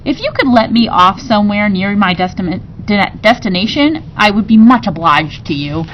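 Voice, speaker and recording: A woman's voice: mid-range voice, expressive, moderate pace, muffled recording, slight background noise, lightly acted. Woman reading as an earnest, unflappable storybook dad. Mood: polite, insistent. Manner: polite, matter-of-fact, insistent.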